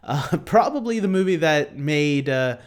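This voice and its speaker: A man hosting a casual unscripted ranking video, talking to viewers in a halting, rambling, self-deprecating way. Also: a little high, animated. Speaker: host of a film ranking video.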